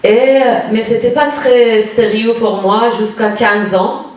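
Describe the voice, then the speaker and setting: A woman's voice: fairly high pitch, slow, distant mic. Persian-accented woman, hesitant, in an echoey hall. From Iran. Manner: searching for words, many hesitations. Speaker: guest poet. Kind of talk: personal storytelling at a reading. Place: municipal lecture hall.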